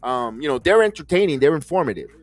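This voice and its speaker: A man's voice: on the high side, very animated, fast talker, soft background music. A man hosting a wrestling podcast, ranting heatedly with many stumbling restarts and curses. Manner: fiery, stumbling repeats, swears. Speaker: podcast host.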